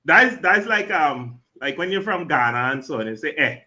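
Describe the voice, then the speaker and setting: High, bright man joking. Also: lively intonation, normal speed. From Trinidad. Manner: jokey, laughs often, peppers talk with "you know". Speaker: talk show guest. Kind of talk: casual chat, comic storytelling. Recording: dull lo-fi livestream audio.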